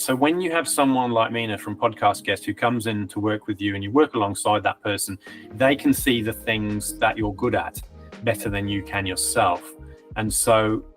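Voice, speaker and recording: A man with a British accent softened by years in New Zealand, giving a client testimonial in a reflective, hesitant way, with frequent ums and restarts. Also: fairly low pitch. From Britain. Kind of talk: conversational testimonial interview.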